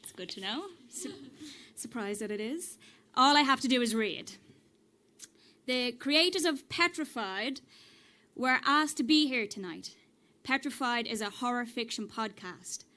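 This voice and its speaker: An actress with an Irish accent playing a nervous, game audience member, haltingly reading a script aloud on stage. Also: female voice, fairly high pitch, expressive, bright, forceful tone. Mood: nervous, wry. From Ireland. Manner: hesitant, halting. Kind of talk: live storytelling performance, reading from a script. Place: on stage at a live cellar event. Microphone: stage microphone.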